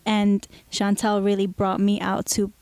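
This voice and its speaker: A woman's voice: mid-range voice, completely flat delivery, a little slow, clean tone, dry recording. A young woman singer answering an interview question in a modest, hesitant way. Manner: soft-spoken, modest, hesitant.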